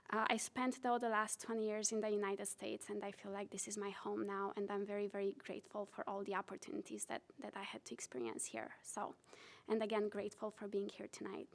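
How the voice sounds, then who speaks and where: A woman in her forties from Romania presents to a city council in an even, steady tone that barely rises or falls. She speaks earnestly and pauses often on "uh" as she goes. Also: mid-range voice. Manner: earnest, many "uh" fillers. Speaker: county director presenting to council.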